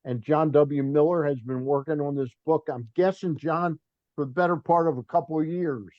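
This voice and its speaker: A man's voice: on the high side, fairly even intonation, normal speed, dry recording, lo-fi sound. A man hosting a sports talk show, chatting bluntly with a guest and reading sponsor plugs. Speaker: sports radio host. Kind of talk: casual interview chat.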